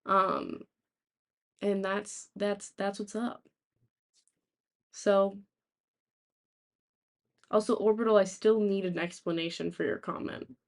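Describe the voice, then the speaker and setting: A young woman with a raspy, sore-throated voice, chatting casually to live stream viewers in a halting, rambling way. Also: mid-range voice, fairly even intonation. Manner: halting, rambling, thinking aloud. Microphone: laptop, without usual microphone.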